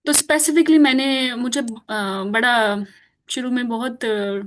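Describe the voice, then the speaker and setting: A woman's voice: a little high, slow. A woman whose first language is Urdu, teaching an informal online class in a chatty way, laughing often and mixing in English. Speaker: course teacher.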